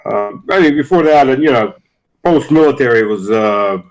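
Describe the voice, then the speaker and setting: A middle-aged man answers interview questions at an everyday mid-range pitch. He talks in a halting way, restarting sentences and leaning on fillers as he works through his points. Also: lively intonation, rough recording. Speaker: guest, former army officer. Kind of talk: casual interview chat.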